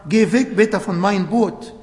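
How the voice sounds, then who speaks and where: A man preaching a sermon in German, which is not his first language, slowly and emphatically, with small grammar slips. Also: high voice, darkish tone. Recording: long hall reverb.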